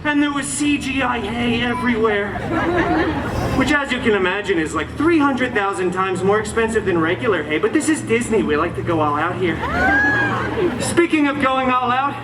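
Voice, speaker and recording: A man performs a cocky, chatty comic superhero telling a parody bedtime tale, with animated pitch and sarcastic asides. It is heard from a distance over a roomy sound system, with loud music and a big crowd around. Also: normal speed, heavy background noise. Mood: excited, wry. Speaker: theme park character performer, storyteller. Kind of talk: comic live storytelling to an audience. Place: outdoor theme park show with audience.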